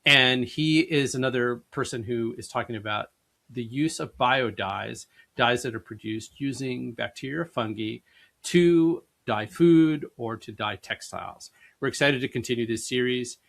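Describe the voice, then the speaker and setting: A man's voice: mid-range voice, measured pace, vocal fry, a bit bright. A man co-hosting a podcast, introducing the guest and asking questions in an upbeat way. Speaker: podcast co-host.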